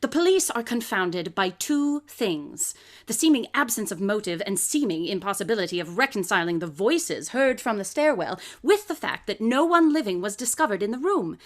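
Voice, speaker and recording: A woman's voice: mid-range voice, sing-song, normal speed, natural voice. A woman playing a brilliant, eccentric detective in a live radio drama, coolly superior, lecturing in precise, didactic speech. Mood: brisk, dismissive. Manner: pompous, didactic, precisely articulated. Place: closet or desk at home.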